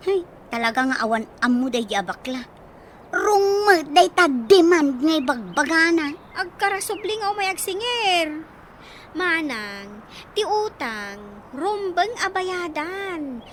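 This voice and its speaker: Woman playing a high-pitched teacher lady, swoops up and down, dodgy about her debt, radio drama. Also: pushed and bright, slight background noise, exaggerated voice. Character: defensive woman schoolteacher who owes money. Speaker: schoolteacher.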